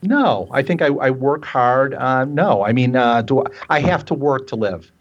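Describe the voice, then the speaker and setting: Fifties male guest, halting and self-deprecating, heard over a dull remote line. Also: mid-range voice, lively intonation, husky. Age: 50s. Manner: hesitant, repeats words, self-deprecating. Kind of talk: casual chat on a call-in show. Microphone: remote call line. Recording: dull lo-fi online call audio.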